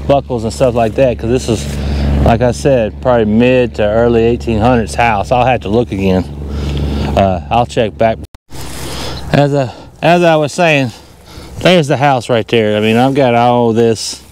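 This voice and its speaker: A middle-aged man speaks with a Southern US accent in a folksy, easygoing manner at a medium pitch. He narrates casually like an outdoor vlogger on an action camera. Clear noise runs behind the voice. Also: moderate pace, darkish tone. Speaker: metal detecting vlogger. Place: outdoors in open farm fields. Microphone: handheld or body-worn action camera.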